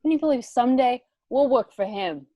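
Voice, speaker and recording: A woman's voice: on the high side, lively intonation, fairly quick, no echo, lo-fi sound. Woman reading a cool, dismissive gangster, dry sound. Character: cool, dismissive male senior gangster Jimmy. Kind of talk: amateur dramatic table read of film script.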